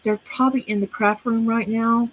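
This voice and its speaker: A woman's voice: fairly high pitch, steady tone, normal speed, muffled recording. A woman chatting casually and thinking aloud, with hesitant fillers as she talks. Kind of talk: casual chat.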